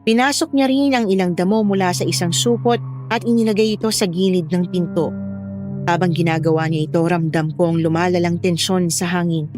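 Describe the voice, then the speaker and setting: A woman's voice: on the low side, a little fast. Woman narrating horror over music. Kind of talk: first-person scripted horror story narration.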